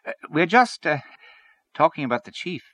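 Man acting peaceable canvasser, lifted lively voice. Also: fairly high pitch, normal speed, dry recording, pitched above the performer's own voice, heavily acted. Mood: friendly. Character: O'Connor, conciliatory Irish male political canvasser.

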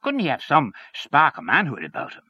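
A man's voice: on the high side, wildly swooping pitch, brisk, bright, forceful tone, breathy edge, no room sound, lo-fi sound, voice pushed up, full character voice. A man voicing a blustering, scornful middle-aged man who grumbles and gossips. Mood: grumbling.

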